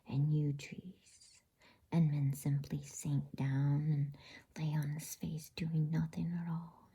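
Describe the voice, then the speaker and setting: Female ASMR bedtime reader: whispered, creaky, lowish voice with a slow, gentle delivery. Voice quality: breathy whisper. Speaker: host and bedtime story reader. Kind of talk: whispered ASMR, casual announcements and storybook reading.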